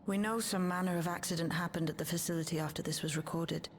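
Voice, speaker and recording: Actress as a low-voiced, measured woman. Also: fairly even intonation, slight background noise, lightly acted. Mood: calm, considerate. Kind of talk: video game voice acting.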